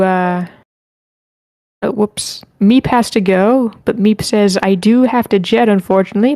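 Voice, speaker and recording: A woman moderates an online group meeting in a casual, unpolished way. Her voice sits at an average pitch and the sound is very dry. She reads messages out and drops small muttered asides to herself as she goes. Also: mellow, dry recording. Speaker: host moderating a script feedback session.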